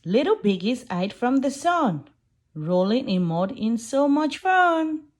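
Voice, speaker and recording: A woman reading a rhyming children's farm book aloud in a slow, gentle way. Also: a little high, big pitch swings. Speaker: storybook reader.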